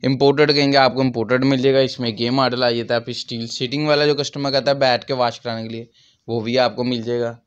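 A man's voice: mid-range voice, little pitch movement, fast, clean tone, lo-fi sound. A man in his thirties with a Pakistani accent, a shop owner explaining products and prices in an interview. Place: small crowded furniture shop, indoors. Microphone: handheld microphone held by interviewer.

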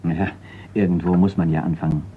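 Actor as low-voiced sober insurance executive. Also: male voice, fairly even intonation, lightly acted. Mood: grave, businesslike. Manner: formal, earnest, measured. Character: sober, insistent male insurance company executive. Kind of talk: German radio crime drama acting. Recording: dull, noisy radio play recording.

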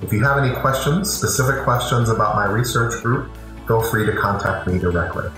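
A middle-aged professor introduces his group in a measured, explanatory way. His voice is bright and somewhat low, and it rings in a very echoey room. Soft music plays underneath. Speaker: professor and lab head. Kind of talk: prepared video introduction.

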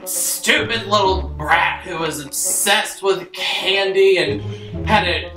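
A man's voice: high voice, slow, recorded from a distance, music in the background. A young man telling a comic bedtime story as a crass, theatrical father, recorded in an echoey bedroom. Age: young adult. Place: small bedroom, indoors. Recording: echoey room, off-mic.

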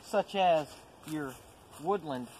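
A man's voice: a little high, slow pace, a little mellow, poor audio. A young man co-hosting a casual instructional chat in a folksy, informal way. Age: young adult. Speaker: co-host. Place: outdoors in woodland. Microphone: handheld camera a few metres away. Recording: outdoor ambient noise, camera mic.

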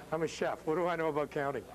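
Chatty middle-aged male cooking host, higher-pitched. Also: a little fast, crowd noise, low-quality recording. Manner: jokey, chatty. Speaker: cooking show host, chef. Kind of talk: casual cooking demonstration chat. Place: restaurant bar room, indoors. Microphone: lapel.

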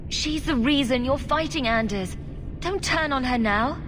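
An actress playing a diplomatic young heroine in a fantasy game, speaking in a composed, reasoning way. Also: female voice, high voice, expressive, unhurried. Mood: firm, urgent. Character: calm, diplomatic heroine, young adult woman.

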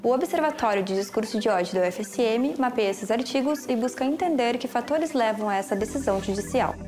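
Highish Brazilian female narrator over music. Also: expressive, a little fast. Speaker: presenter. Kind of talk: scripted documentary narration.

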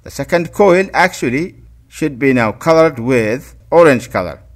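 Slow-paced man giving step-by-step instructions. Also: fairly high pitch, expressive. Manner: step-by-step, repeats instructions, checks with "okay?". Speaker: DIY electronics instructor. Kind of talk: instructional tutorial narration.

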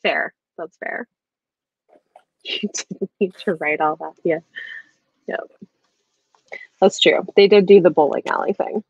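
A woman's voice: on the low side, slow pace, long pauses, husky, dry recording. Woman chatting casually, dry muffled call audio. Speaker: book club livestream host. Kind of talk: casual book discussion chat. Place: indoor room at home, on a video call. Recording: slightly dull video-call audio.

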